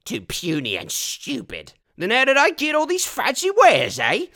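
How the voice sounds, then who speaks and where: A man's voice: very high voice, wildly swooping pitch, moderate pace, creaky, bright, forceful tone, voice pushed up, full character voice. A man voicing crude, boastful orc soldiers squabbling in ungrammatical rustic speech. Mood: indignant, mocking.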